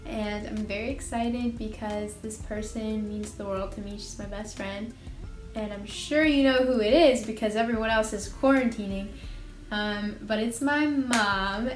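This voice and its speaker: A young woman hosting a casual vlog, chatty and bubbly, talking at home. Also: mid-range voice. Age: young adult. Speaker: host. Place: home room. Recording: echoey room with faint music.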